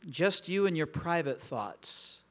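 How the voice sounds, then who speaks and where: Guy preaching slow and thoughtful, kinda high voice, lots of pauses. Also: expressive. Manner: slow, reflective, intimate delivery with long pauses. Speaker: pastor. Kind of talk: sermon.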